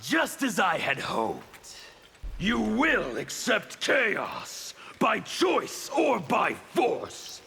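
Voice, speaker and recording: Fanatical rebel game villain, slow gravelly menacing voice, big reverb. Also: male voice, high voice, huge pitch swings, pushed and bright, a little hiss or hum, exaggerated voice. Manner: menacing, theatrical, deliberate. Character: Havik, fanatical male anarchist revolutionary fighter. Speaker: video game character. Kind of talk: dramatic fighting game dialogue.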